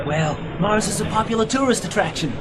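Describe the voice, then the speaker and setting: Noisy home-made audio carries a woman playing an earnest loner believer in a radio drama. The delivery is lively in pitch and insistent. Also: a little fast, crowd noise, lightly acted. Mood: informative, insistent. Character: earnest local believer, loner restaurant regular. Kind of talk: amateur sci-fi radio play acting.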